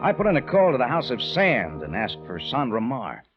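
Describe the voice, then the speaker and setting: A man's voice: medium pitch, huge pitch swings, moderate pace. An actor playing a tough, unflappable American adventurer who tells his own case in clipped, wry 1940s radio style. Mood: brooding narration. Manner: clipped, wry, hard-boiled. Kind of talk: 1940s radio detective acting and first-person narration. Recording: muffled old radio broadcast with music.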